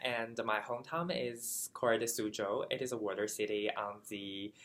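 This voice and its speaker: A man from China whose first language is Chinese, a graduate student answering interview questions carefully, with many fillers and pauses. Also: lowish voice, fairly bright tone.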